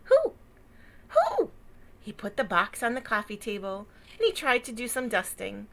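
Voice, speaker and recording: A woman reading a picture book aloud to children as a storyteller, with expressive, dramatic emphasis and small asides. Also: fairly high pitch, unhurried. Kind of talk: animated read-aloud narration with asides.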